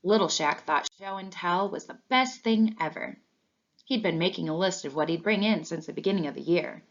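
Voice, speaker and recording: Female storybook reader, slightly low voice, expressive read-aloud for children, dull recording. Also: fairly quick.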